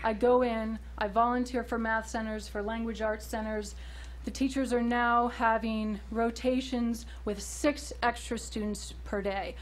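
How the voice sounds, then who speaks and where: A woman's voice: fairly high pitch, flat delivery, unhurried, rough recording. A woman speaking as a parent during public comment at a school board meeting, earnest and pointed, pressing for action at a podium microphone.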